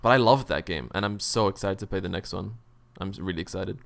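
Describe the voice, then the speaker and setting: A man's voice: low-pitched, quick pace, vocal fry, fairly bright tone. A man in casual, informal podcast chat about games, using plenty of slang and swearing. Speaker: gaming podcast co-host.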